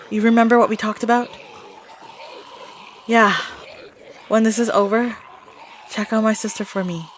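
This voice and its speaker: A woman's voice: medium pitch, a little hiss or hum, lightly acted, rough recording. A woman playing a desperate, protective zombie outbreak survivor in an audio drama, giving urgent, clipped commands.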